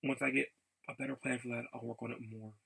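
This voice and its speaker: Gravelly, bright-voiced man rambling casually. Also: medium pitch, little pitch movement. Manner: halting, repeats phrases. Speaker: video blogger. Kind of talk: casual rambling vlog update.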